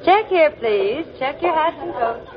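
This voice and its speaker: A young coat-check girl in a 1940s radio play, high-voiced and very lilting, eager and polite as she calls to customers. Crowd bustle sits behind her on a dull old recording. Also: lively intonation, measured pace. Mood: helpful, singsong.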